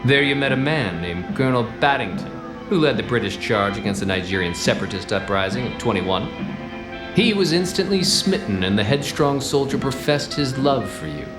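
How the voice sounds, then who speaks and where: An actor playing a wisecracking, hard-boiled 1940s detective with clipped, gruff, deadpan delivery. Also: male voice, mid-range voice, normal speed, echoey room, music in the background, natural voice. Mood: commanding. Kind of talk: 1940s-style radio play acting.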